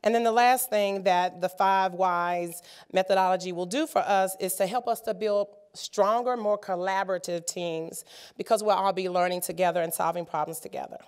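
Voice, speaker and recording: Slightly raspy woman presenting a workshop. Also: medium pitch, steady tone, moderate pace. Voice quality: many filler ums and uhs. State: slightly raspy from a sinus cold. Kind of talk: conference workshop talk. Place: conference room.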